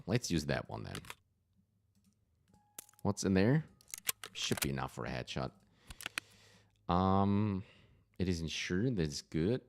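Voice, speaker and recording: A man's voice: low-pitched, gravelly, noisy background. A young man with a German accent, casually chatting and chuckling to himself while he plays a game and answers his chat. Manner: rambling, chuckling, self-talk while playing. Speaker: gaming streamer. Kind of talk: casual let's-play commentary, reading chat.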